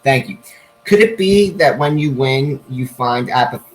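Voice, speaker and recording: A man's voice: medium pitch, expressive, measured pace, a little hiss or hum, poor audio. A man casually questioning others in a debate, skeptical and searching for his words.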